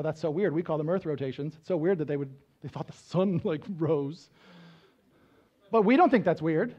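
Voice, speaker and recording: A man in his thirties preaches from a church stage, pacing and gesturing as he teaches in a relaxed, conversational way. His voice sits fairly high for a man. The sound is a bit dull and muffled. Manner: conversational teaching, frequent "right?" check-ins; walks around the stage gesturing. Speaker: pastor. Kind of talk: sermon. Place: church stage with band instruments.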